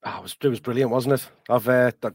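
A man with a hoarse, croaky voice, talking in a halting, earnest way as a podcast co-host. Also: mid-range voice, steady tone, dry recording, clean studio sound. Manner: halting, many restarts, earnest. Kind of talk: casual chat.

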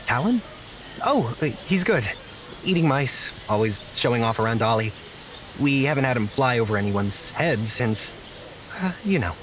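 An actor playing an earnest, insecure young man in an audio drama, speaking softly and haltingly with an apologetic manner. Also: mid-range voice, very animated, background noise, natural voice. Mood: shy, lighter. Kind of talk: naturalistic audio drama dialogue.

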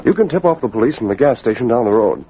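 An actor playing a capable young American gentleman hero in an old radio drama, speaking in a crisp, educated, decisive way. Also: mid-range voice, lively intonation, quick pace. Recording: muffled, noisy old radio recording.

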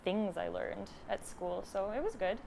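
A woman's voice: medium pitch, a little slow, gravelly, warm tone, a little hiss or hum. A woman of thirty with a Canadian accent, chatting informally and giggly as an interview guest. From Ontario, Canada. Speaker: guest, artist and art teacher. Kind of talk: casual interview chat.

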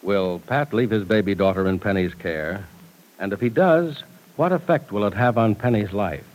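A man's voice: lowish voice, normal speed, a little mellow, slight background noise, poor audio. An American man announcing in 1940s radio style, with a polished, emphatic scripted delivery.

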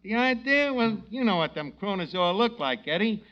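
An actor playing a brash, slangy, scheming tavern manager in a 1940s radio comedy. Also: male voice, high-pitched, expressive, moderate pace. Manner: slangy, ungrammatical, mangles words. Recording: dull vintage radio broadcast recording.

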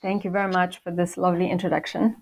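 A woman in her fifties from Poland with a fairly low voice. She answers slowly and thoughtfully, pausing often as she gathers her thoughts, in the manner of an academic guest. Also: flat delivery, vocal fry, a little mellow. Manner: hesitant, thoughtful, many pauses. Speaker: guest scholar. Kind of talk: interview answers.

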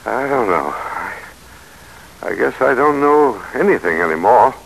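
A man's voice: medium pitch, expressive, measured pace, vocal fry, fairly bright tone, a little hiss or hum, low-quality recording. A man acting in an old radio drama as a proud, embittered 19th-century American statesman, theatrical and oratorical. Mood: resigned. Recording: vintage radio drama recording.

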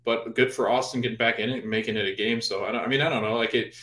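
A man co-hosting a casual sports podcast, thinking out loud in loose, rambling sentences. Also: medium pitch, steady tone, very fast. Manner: rambling, full of fillers and restarts. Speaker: podcast co-host. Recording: roomy echo.

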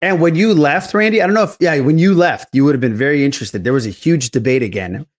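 A man's voice: a little high, fast. A man hosting a podcast, chatting casually and telling loose, rambling stories in a blunt everyday way. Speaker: podcast host. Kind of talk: casual group chat.

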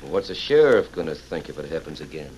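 Deep-voiced grumbling outlaw henchman. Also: very animated, fast talker, a little mellow. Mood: doubtful. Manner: grumbling, skeptical, slangy. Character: uneasy, doubting male outlaw henchman. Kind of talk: old-time radio western acting. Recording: noisy vintage radio recording.

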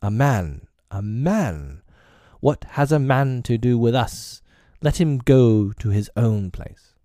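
A man's voice: low voice, unhurried, darkish tone, no room sound, studio quality. A man voicing a snarling, jeering pack of wolves while reading a storybook aloud. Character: snarling mob of young male wolves.

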